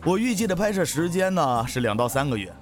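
An actor playing a brisk, jovial, casually bossy film director in a Chinese audio drama. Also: male voice, fairly high pitch, sing-song, soft background music. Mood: brisk, in charge.

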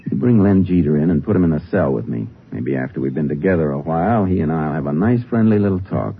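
An actor playing a steady, resourceful Texas Ranger in a 1950s radio drama, speaking in a terse, measured way. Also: male voice, very deep voice, slightly rough, exaggerated voice. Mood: calm, decisive. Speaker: lead actor and narrator. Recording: tinny, noisy old radio recording.

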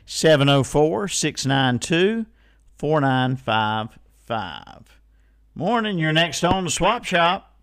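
A man's voice: on the high side, big pitch swings, takes it slowly. A man hosting a casual call-in swap show on local radio, laid-back, repeating details back to callers.

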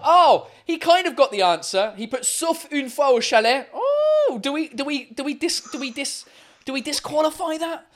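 A man co-hosting a livestream quiz, chatting casually with viewers in a loud, laughing, swearing style full of fillers. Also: very high-pitched. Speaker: livestream co-host running a quiz.

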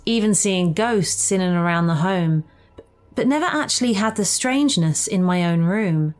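Woman narrating a ghost story over faint music. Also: medium pitch. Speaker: story reader. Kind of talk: scripted first-person ghost story narration.

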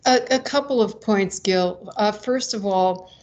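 A woman's voice: medium pitch, unhurried, a little mellow, muffled recording, a little hiss or hum. A woman speaking as an expert guest in an interview, explaining in an articulate, argumentative way and stressing her key points.

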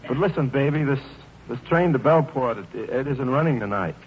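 A young American soldier played by a man in an old radio play, earnest and polite, with a medium-pitched voice. He hesitates and repeats words. The sound is muffled, with faint noise. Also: animated, normal speed, natural voice. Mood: anxious, tender. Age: young adult. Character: polite, eager young soldier heading to see his girl. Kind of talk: old-time radio drama acting.

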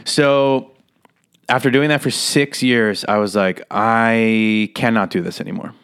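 A man's voice: lowish voice, fairly even intonation, a little slow, a bit bright, clear, resonant tone. A man in his thirties from Las Vegas, telling his story as a comedian guest in a casual interview, rambling, laughing and swearing as he goes. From Las Vegas, USA.

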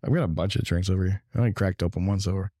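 Guy cohosting a gaming stream, really low voice, kinda losing it, rambles and stops a lot, dry sound. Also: mellow, no echo. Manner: halting, rambling. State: losing his voice. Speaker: livestream and podcast co-host. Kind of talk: casual gaming talk.

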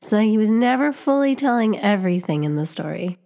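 A woman's voice: medium pitch, expressive, fairly quick, mellow. A young woman from Canada talking earnestly and reflectively to camera, often restarting and repeating words as she searches for what to say. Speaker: vlogger and teacher. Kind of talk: reflective personal vlog monologue.

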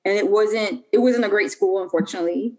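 A woman's voice: mid-range voice, fairly quick, warm tone. A young adult woman from Puerto Rico, a podcast guest telling her life story in a casual, chatty way with lots of fillers and restarts.

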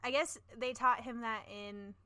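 A woman's voice: fairly high pitch, fairly even intonation, a little slow, sharp tone. A young woman co-hosting a podcast, chatting casually and playfully with lots of giggling. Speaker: podcast co-host. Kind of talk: casual chat.

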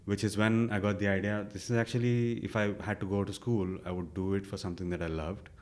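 A man's voice: lowish voice, fairly even intonation, fast talker, a little mellow. A young man from India, a guest in an interview, telling his story casually with many fillers and self-quotes.

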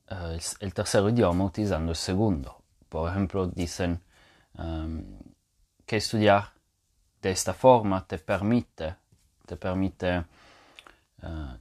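A man teaching as a podcast host, explaining step by step and thinking aloud, with frequent fillers and restarts. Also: deep voice. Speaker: language teacher.

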